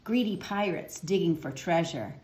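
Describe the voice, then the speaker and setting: A woman reading to children in a storytelling style, with clearly phrased, expressive delivery. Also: on the low side, moderate pace. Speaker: children's book reader. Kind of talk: read-aloud storytelling for children. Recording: roomy, echoing sound.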